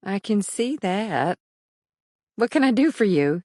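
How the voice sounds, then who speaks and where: A man's voice: sits high, sing-song, a little mellow, no room sound, pitched above the performer's own voice, exaggerated voice. A male narrator voicing a weary young mother of about thirty with a dry, frazzled way of talking. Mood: wry, weary. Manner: dry, frazzled, quick to chatter.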